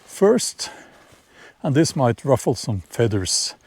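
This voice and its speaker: A middle-aged man with a Norwegian accent, talking casually to camera outdoors in a vlog, halting and repeating words as he shares opinions. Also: mid-range voice, sing-song, a little mellow, husky. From Norway. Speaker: vlogger. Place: outdoors in nature, near horses.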